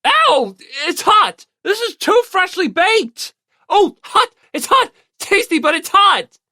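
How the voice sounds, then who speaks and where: A woman voicing a brash, excitable teenage punk jock who exclaims loudly in an audiobook. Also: very high voice, slow pace, voice pushed up, exaggerated voice. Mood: yelping, excited. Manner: loud, exclaiming. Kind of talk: audiobook character acting.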